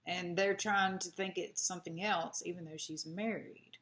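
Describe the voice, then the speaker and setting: A woman's voice: lowish voice, fairly even intonation, moderate pace, background noise. A young woman, telling a history story in a chatty, rambling way as a beauty vlogger. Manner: chatty, rambling, many asides with "like" and "you know". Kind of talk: casual storytelling while doing makeup.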